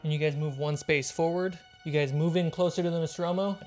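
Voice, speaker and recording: A woman's voice: deep voice, fairly even intonation, fairly quick, darkish tone, soft background music. A woman running a tabletop role-playing game as game master, describing moves and calling for rolls.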